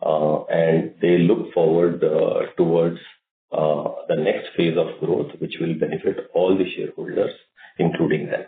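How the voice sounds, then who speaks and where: A man with an Indian accent speaking haltingly as a company executive on an earnings call. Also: low voice, level tone. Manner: halting, hesitant delivery. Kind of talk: corporate earnings call remarks. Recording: muffled phone conference, echoey room.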